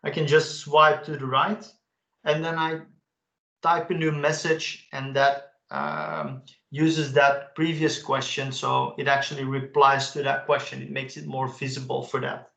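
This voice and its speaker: Man, gravelly voice, casual software walkthrough, roomy echo. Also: medium pitch, a little slow. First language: Dutch. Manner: casual, enthusiastic, frequent "uh" fillers. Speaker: webinar presenter. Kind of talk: live software demo walkthrough.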